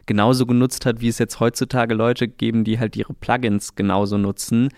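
A man's voice: medium pitch, little pitch movement, a little fast, darkish tone, dry recording, studio quality. A man interviewing in a conversational way, summing up and analysing what was said. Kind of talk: conversational discussion.

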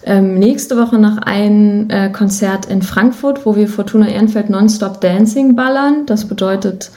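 A woman's voice: medium pitch, a little slow, mellow. A woman talking casually and informally as a musician guest in an interview, with an enthusiastic manner. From Germany. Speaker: podcast guest, musician and singer.

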